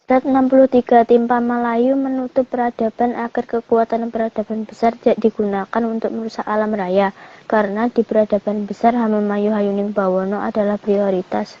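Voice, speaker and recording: Flat-voiced woman reading slides. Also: a little high, a little fast, dull audio. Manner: flat, even recitation. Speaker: slide reader. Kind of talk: scripted reading.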